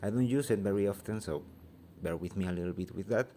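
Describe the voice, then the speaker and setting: Mexican-accented man with a warm, low voice. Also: quick pace, a little hiss or hum. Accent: Mexican Spanish. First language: Spanish. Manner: hesitant, many fillers and restarts, grows heated. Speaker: vlogger giving an opinion. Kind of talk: casual opinion monologue. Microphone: phone held by hand.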